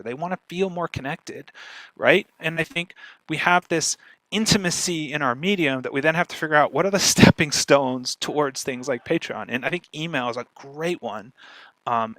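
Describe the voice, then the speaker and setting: A man speaking conversationally as a guest expert, animated and often checking in with "right?". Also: a little high, no room sound, poor audio. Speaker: guest speaker, podcaster and marketer. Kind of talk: conversational talk on podcast marketing.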